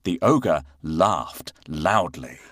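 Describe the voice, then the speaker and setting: A man narrating a children's storybook from a script in an expressive, dramatic and emphatic way. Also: deep voice, very slow, no room sound, clean studio sound.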